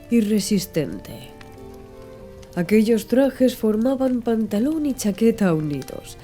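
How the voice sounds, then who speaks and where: Woman narrating an audiobook, mellow and lively. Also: fairly high pitch.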